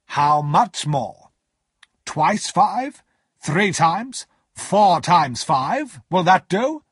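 Stern storybook lawyer, played by a man, raised pitch, sharp deliberate delivery, husky, commanding. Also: very animated, no echo, studio quality, heavily acted. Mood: slow, pressing. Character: stern, brusque, commanding middle-aged male lawyer.

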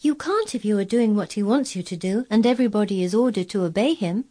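A woman's voice: mid-range voice, animated, fast, dark tone, breathy edge. A woman voicing a stubborn, self-possessed young girl who speaks firmly and plainly in a storybook reading. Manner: firm, plain-spoken, earnest.

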